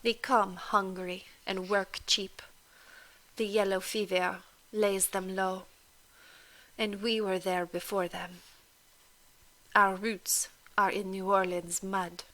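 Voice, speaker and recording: A woman voicing a proud, composed young woman who speaks quietly and with restraint. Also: mid-range voice, level tone, slow, pauses often, rough recording. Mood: somber. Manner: quiet, restrained, soft-spoken.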